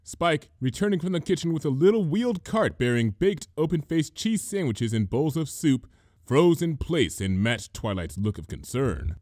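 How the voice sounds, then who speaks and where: A man's voice: a little high, sing-song, moderate pace, darkish tone, no room sound, clean studio sound. A man reading a story aloud as narrator, in a scripted, dramatic storytelling style. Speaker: fan fiction reader, narrator.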